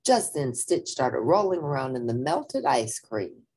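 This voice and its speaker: A woman reading a children's story aloud in an animated, dramatic, expressive narrating style. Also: a bass voice, measured pace, husky.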